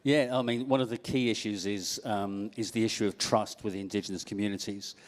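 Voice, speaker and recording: A man's voice: lowish voice, steady tone, a little fast, long reverb tail. A middle-aged man with an English accent speaking earnestly on a panel. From England. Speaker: panelist, project founder. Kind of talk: panel discussion.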